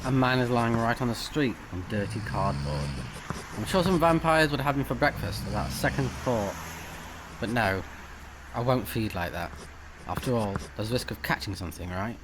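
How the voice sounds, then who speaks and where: A man's voice: mid-range voice, lively intonation, normal speed, background noise. A man hosting a let's play, mixing playful, reactive commentary with reading the game's text aloud.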